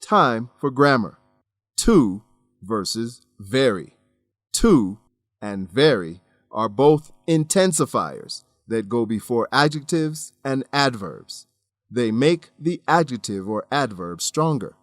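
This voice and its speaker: A male teacher-host reads a scripted language lesson in a medium-pitched voice. He is measured and instructive, enunciating clearly, with a very dry, close studio sound. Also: sing-song, no room sound. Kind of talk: scripted educational narration.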